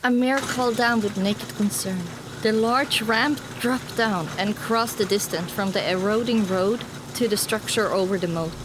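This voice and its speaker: A woman's voice: mid-range voice, moderate pace, few pauses, noisy background. A woman reading dramatic first-person scripted narration for an audiobook.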